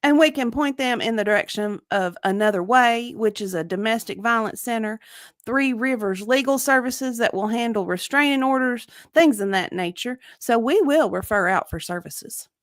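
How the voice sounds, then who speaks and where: Woman playing a guarded, higher-pitched deposition witness. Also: moderate pace, no room sound, clean studio sound, natural voice. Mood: matter-of-fact, hedging. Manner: clipped, guarded, hedging answers. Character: evasive, matter-of-fact female victim advocate testifying as witness. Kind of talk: comedic dramatic reading of a legal deposition.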